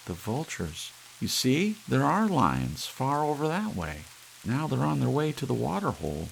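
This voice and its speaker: A man's voice: mid-range voice, wildly swooping pitch, a little slow, creaky, slight background noise, voice pushed up, full character voice, low-quality recording. A man voicing a tense, anxious wife in a suspense audiobook, with urgent delivery. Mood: uneasy, tense.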